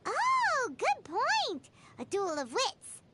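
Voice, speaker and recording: A woman voicing a tiny, childlike fairy companion in an anime video game, naive, excitable and bubbly, with a squeaky voice. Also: extremely slow, very noisy, exaggerated voice. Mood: excited. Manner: bubbly, exaggerated, speaks of self in third person.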